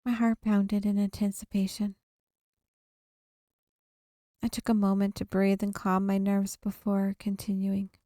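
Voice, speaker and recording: A woman's voice: mid-range voice, monotone, measured pace, pauses often, warm tone, no echo, studio quality. A woman streaming a visual novel, reading its narration aloud expressively and sometimes correcting herself mid-sentence. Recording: background music under the voice. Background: game music.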